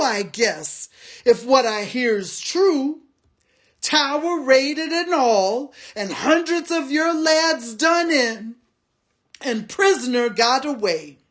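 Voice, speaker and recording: A woman's voice: sits high, expressive, slow pace, pitched above the performer's own voice. A woman doing a snarling small orc tracker. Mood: snarling, complaining. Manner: snarling, whining. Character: small sly male orc tracker, sulky and quarrelsome.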